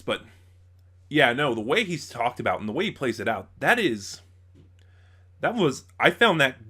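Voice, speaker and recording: A man with a bright, forceful voice at an ordinary male pitch reviews for his viewers in a casual style. He talks as a host thinking aloud, stopping, starting again and rewording his points as he goes. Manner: halting, thinking aloud, often backtracking and rephrasing. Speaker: audio drama reviewer, channel host.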